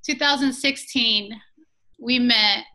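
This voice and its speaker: Bright, sharp-voiced woman in her early thirties. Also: a little high, slow pace. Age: early 30s. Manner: chatty, checks in with "right?" and "you know". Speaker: podcast guest, business owner. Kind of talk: casual conversational storytelling.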